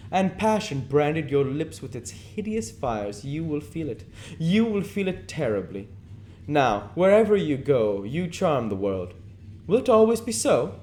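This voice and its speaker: A man voicing a languid, persuasive aristocratic gentleman from a novel, lecturing emphatically on how one should live. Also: fairly high pitch. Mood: insistent, admiring. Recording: noticeable background noise.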